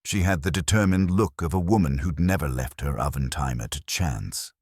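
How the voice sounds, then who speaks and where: A man's voice: rumbling low, moderate pace, a little mellow, breathy edge, no echo, studio quality. A man narrating a scripted first-person detective story as host.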